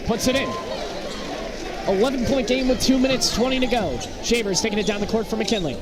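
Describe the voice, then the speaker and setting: An American man doing live basketball play-by-play in short, clipped phrases that turn excitable on big plays. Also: extremely high pitch, brisk. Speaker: play-by-play commentator. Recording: very loud gym crowd noise behind. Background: loud crowd and bouncing basketballs.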